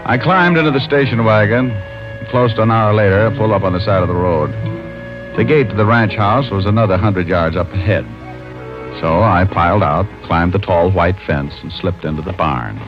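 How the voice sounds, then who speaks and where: A man's voice: deep voice, normal speed, lightly acted. An American actor playing a wisecracking, self-mocking private detective who narrates his case in mid-century radio style. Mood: wry narration. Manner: wry, glib, self-mocking. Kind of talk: 1940s-50s radio detective acting. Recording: dull old radio recording, music behind.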